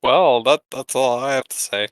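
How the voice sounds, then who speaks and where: A man's voice: mid-range voice, moderate pace, clear, resonant tone, no room sound. A man co-hosting a podcast in casual, joking banter with friends. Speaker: podcast co-host.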